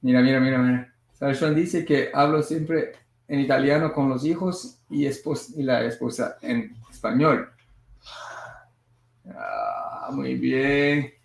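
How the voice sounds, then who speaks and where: A man hosting a casual family livestream from home, moving easily between languages with a relaxed, filler-heavy way of talking. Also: mid-range voice, unhurried, gravelly. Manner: switches between languages mid-sentence. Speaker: livestream host and father. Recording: echoey room sound.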